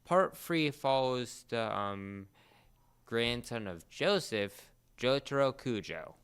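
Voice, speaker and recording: A man with a clean, smooth voice at a middling pitch talks casually as a co-host. He explains things step by step, often restarting and repeating a phrase as he goes. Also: animated, takes it slowly, fairly bright tone. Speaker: podcast co-host explaining a series.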